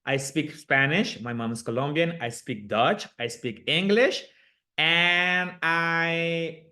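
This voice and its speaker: A man's voice: a little high, a bit bright. A man from Aruba with a slight Aruban accent and Papiamento as his first language, hosting a live online coaching session over a video call in an upbeat, chatty way. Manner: upbeat, chatty, laughs often.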